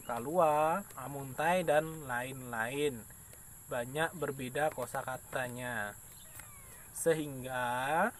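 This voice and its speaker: Slow-speaking male teacher. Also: a little high, fairly bright tone, slight background noise. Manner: slow, careful explaining with frequent pauses and fillers. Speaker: primary school teacher. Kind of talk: recorded lesson for pupils.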